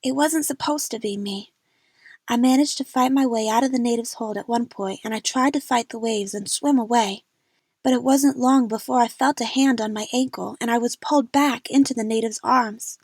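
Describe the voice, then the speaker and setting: A woman narrates a dramatic first-person story for an amateur audio drama. Her voice is fairly high with a husky, breathy edge, recorded close and dry. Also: normal speed, a little mellow, no room sound.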